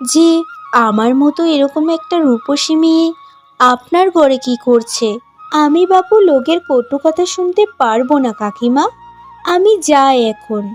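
Woman playing a high-pitched, vain young bride. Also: a little slow, soft background music. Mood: coy, pleading. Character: vain, haughty, beautiful young new bride. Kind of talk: cartoon voice acting.

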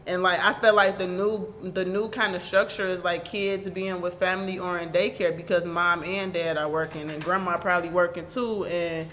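A woman's voice: fairly low pitch, a bit bright. A woman co-hosting a podcast, chatting casually in a loose, easygoing way. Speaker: podcast host. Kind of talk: casual chat. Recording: faint background noise.

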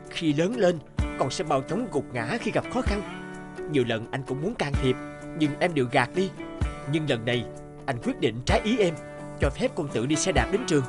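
A patient, firm cartoon father, who reasons earnestly. His pitch rises and falls expressively. Music plays underneath. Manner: earnest, explanatory. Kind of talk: cartoon voice acting. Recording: music behind the voice.

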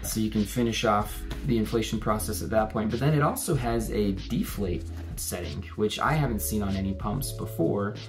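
A man in his thirties reviewing a paddle board to camera, explaining features in a measured way from a room indoors. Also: fairly low pitch, soft background music. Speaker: gear reviewer co-host. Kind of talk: product review talk to camera. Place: indoor room with curtains and a plant.

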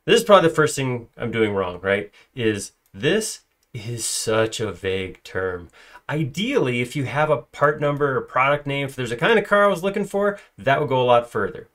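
Chatty man walking through software. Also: mid-range voice. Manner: conversational, filler-heavy, self-correcting. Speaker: software trainer. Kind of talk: screen-recorded software tutorial.

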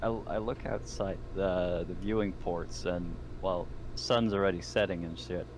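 A man with a fairly low voice plays a tabletop roleplaying game. He narrates his character casually and speaks as him, with clear noise behind him on an online call. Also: steady tone, a little slow. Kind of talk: in-character play and casual chat.

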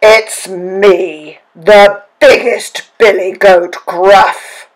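A big, fearless billy goat from a children's tale, voiced by a woman storyteller. He is loud and challenging. He delivers his words slowly, with defiance. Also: mid-range voice, fairly even intonation. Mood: bold, defiant. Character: biggest, bold, defiant fighting male billy goat.

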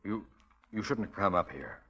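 An actor playing a steady, kindly police detective in a 1940s radio drama. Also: male voice, low-pitched, moderate pace, husky, highs cut off, exaggerated voice. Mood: firm. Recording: vintage radio broadcast with music.

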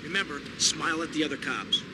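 An actor playing a tough, commanding, short-tempered gangster boss in a video game, speaking in a curt, bossy way. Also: male voice, fairly high pitch, fast, background noise, close to an everyday voice. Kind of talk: video game cutscene acting.

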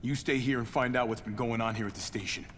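A man's voice: medium pitch, fairly even intonation, fast talker, a bit bright, a little hiss or hum, lightly acted. An actor playing a determined, protective male action hero, informal and plain-spoken, in a video game cutscene. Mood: wary, focused.

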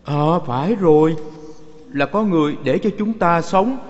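A man's voice: on the high side, animated, measured pace, a little mellow. A man playing a joyful, devout wanderer in a dubbed Buddhist cartoon. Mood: glad. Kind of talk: dubbed animation acting. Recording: muffled audio with long reverb.

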